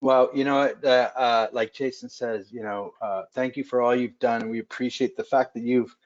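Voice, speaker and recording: A man hosting a webcast interview in casual chat, halting with many fillers and restarts. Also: mid-range voice, steady tone. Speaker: webcast host. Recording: dull lo-fi webcast audio.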